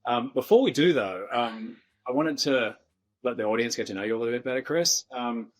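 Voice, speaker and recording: A man's voice: mid-range voice, brisk. A man from Sydney with an Australian accent, hosting a podcast interview in an informal, somewhat hesitant conversational way. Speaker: podcast host.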